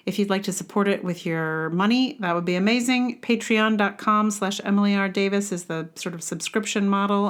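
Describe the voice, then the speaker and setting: A woman hosting a podcast alone, chatting casually in a wry, rambling way as if thinking aloud. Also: medium pitch. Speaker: podcast host. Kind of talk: casual solo podcast talk.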